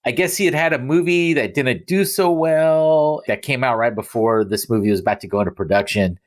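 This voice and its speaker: A man's voice: mid-range voice, a little fast. A man hosting a podcast in a casual, conversational way with joking asides. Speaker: podcast host. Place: remote recording.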